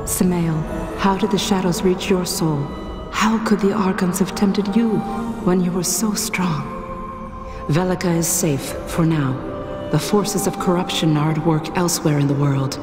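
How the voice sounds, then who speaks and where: A woman playing a solemn, benevolent hunter goddess in a fantasy game, speaking in a stately, measured, formal way. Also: lowish voice, lightly acted. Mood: sorrowful. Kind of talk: fantasy game cutscene acting. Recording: echoing hall reverb, music behind.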